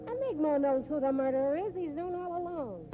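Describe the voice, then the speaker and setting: A woman's voice: high voice, expressive, brisk, music in the background. An actress playing a bold, shrewd circus sideshow woman in a 1950s radio mystery, scornful and slangy. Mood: scornful, insistent. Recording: muffled, noisy old radio recording.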